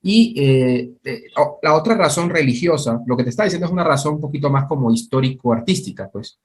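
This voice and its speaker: A man's voice: mid-range voice, expressive, brisk. A man in a mid-range voice explains things patiently, step by step. He often stops to check that his listener is following. He sounds like a teacher talking with a student over a video call. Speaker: Buddhist teacher.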